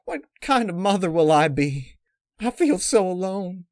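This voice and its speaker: A man's voice: sits high, big pitch swings, measured pace, darkish tone, no room sound, studio quality, pitched above the performer's own voice, full character voice. A man voicing an anxious pregnant farm mare with folksy country phrasing in a story reading. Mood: anxious, overwhelmed.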